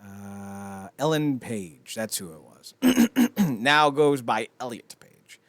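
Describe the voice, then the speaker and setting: A man's voice: mid-range voice, sing-song, slow, no echo. A man co-hosting a casual pop-culture podcast, rambling and swearing freely as he chats. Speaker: podcast co-host.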